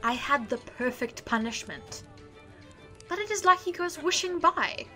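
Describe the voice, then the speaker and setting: An actress playing a weary, scornful tusked judge of the dead in an audio drama, speaking theatrically. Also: female voice, sits high, expressive, a little slow, sharp tone, faint music behind, heavily acted. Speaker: afterlife judge.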